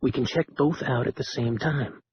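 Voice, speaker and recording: A man doing a calm, guarded leader. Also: mid-range voice, normal speed, highs cut off, a little hiss or hum, natural voice. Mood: measured.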